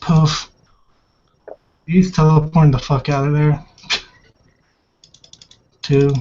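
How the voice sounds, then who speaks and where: A man's voice: on the high side, flat delivery, slow, dark tone, a little hiss or hum. A man in casual, rambling, informal chat as a player in a tabletop roleplaying game. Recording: dull lo-fi voice recording.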